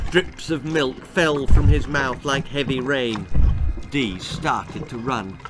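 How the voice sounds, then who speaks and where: A man reading a children's horror-comedy story in a theatrical, precise storytelling style. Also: mid-range voice. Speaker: author reading his own story.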